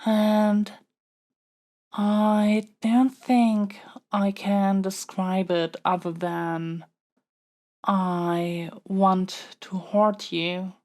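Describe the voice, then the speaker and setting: A woman's voice: mid-range voice, warm tone. A woman playing an earnest, flustered young princess in an intimate audio roleplay, stammering and hesitating as she pleads. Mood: intense, halting. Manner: halting, hesitant, stammering delivery. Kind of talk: audio roleplay acting, intimate monologue to the listener.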